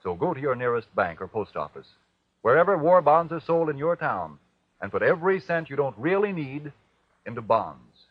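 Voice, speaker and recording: A man as an earnest, insistent wartime radio pitchman, exhorting listeners with emphatic rhetorical questions. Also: no echo. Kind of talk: 1940s radio announcer appeal. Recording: dull, dry old radio recording.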